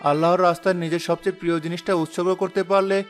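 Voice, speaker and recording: A man voicing a poor, devout, gentle village father in a cartoon moral drama, speaking earnestly and tenderly. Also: high-pitched, flat delivery, rapid-fire, faint music behind, natural voice. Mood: tender, prayerful.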